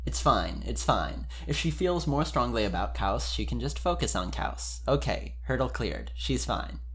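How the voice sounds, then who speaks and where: Man, mid-range voice, expressive, informal, podcast-style story reading. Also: mid-range voice, unhurried. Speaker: podcast member reading fan fiction aloud. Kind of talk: dramatic reading of fan fiction with casual introduction.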